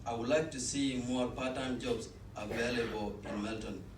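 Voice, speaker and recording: A man's voice: mid-range voice, steady tone, moderate pace. An eighteen-year-old man from South Sudan, a student cultural ambassador, reading an earnest personal statement with small restarts. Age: teens. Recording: echoey room, distant mic, noisy.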